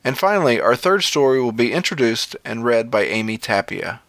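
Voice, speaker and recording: A man's voice: mid-range voice, sing-song, normal speed, fairly bright tone, dry recording. A man hosting a story podcast, delivering a scripted announcement. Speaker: podcast host.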